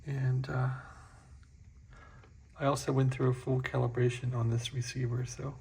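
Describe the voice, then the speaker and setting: Man at a workbench, gravelly voice, relaxed chatty walkthrough of a restored radio, mid pitch. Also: normal speed. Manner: chatty, explains as he works. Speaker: equipment restorer demonstrating a receiver. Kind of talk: casual hands-on demo.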